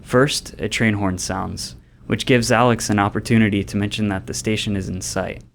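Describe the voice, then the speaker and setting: A somewhat low-voiced man reads scripted developer commentary. There is faint ambient noise behind him as he explains design decisions in prepared sentences. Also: steady tone, normal speed, creaky. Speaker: game developer.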